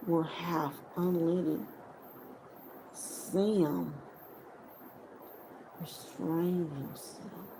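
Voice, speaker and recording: A woman reading fiction aloud as a dramatic audiobook narrator, deliberately and in short phrases. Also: low voice, background noise. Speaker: audiobook reader.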